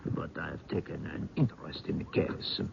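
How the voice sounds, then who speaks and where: An actor playing an earnest, inquisitive doctor in a radio mystery, speaking formally and deliberately. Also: male voice, rumbling low, creaky, full character voice. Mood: grave, musing. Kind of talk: 1970s radio drama acting. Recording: dull, noisy old radio broadcast.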